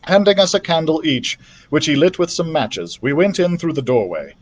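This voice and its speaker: A man's voice: fairly high pitch, lively intonation, normal speed. A man reading a children's adventure story aloud as a library storytime reader, with careful, dramatic phrasing. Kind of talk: expressive scripted storybook narration.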